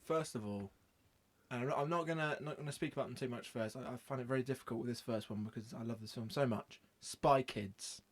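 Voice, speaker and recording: A man's voice: medium pitch, fast. Rambling, easy-laughing male podcast host. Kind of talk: casual chat.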